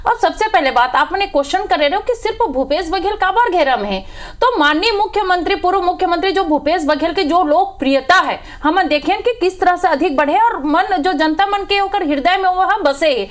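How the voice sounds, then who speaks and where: Female party spokeswoman, Chhattisgarhi accent, very high voice, insistent and argumentative debate delivery. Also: lively intonation, fairly quick, hardly any pauses. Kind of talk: political TV debate.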